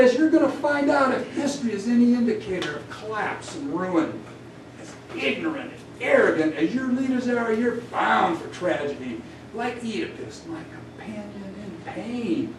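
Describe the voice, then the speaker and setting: A man performs an indignant, grieving biblical prophet who argues his case in high, declamatory tones. The delivery is accusing and rhetorical, as in a staged epic poem. It is heard from a distance in an echoing room with faint noise. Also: animated, measured pace, few pauses. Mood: angry, mournful. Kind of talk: dramatic epic poetry recitation. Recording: distant roomy live recording, faint noise.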